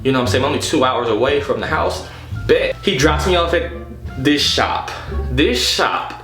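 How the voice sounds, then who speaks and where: A man telling a story casually and comedically, in an exasperated, animated, slangy way with plenty of swearing. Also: medium pitch, moderate pace. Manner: animated, exasperated, profane, slangy with repeated "you know what I'm saying". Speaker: storytelling vlogger. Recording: echoey room with music behind.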